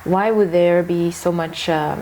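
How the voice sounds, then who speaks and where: Lowish-voiced woman guest, open and chatty. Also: steady tone, a little slow, warm tone, slight background noise. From France. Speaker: guest, gender specialist. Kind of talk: casual interview conversation.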